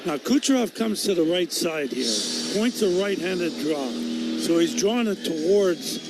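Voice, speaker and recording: A man's voice: high voice, expressive, moderate pace, loud music behind the voice. A man giving casual hockey analysis as a radio colour commentator. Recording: very loud background sound.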